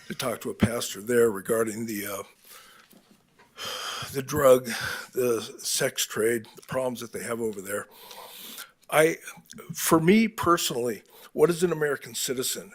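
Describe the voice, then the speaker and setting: A man giving halting, candid public comment at a city council meeting, with restarts and the odd throat clear. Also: medium pitch.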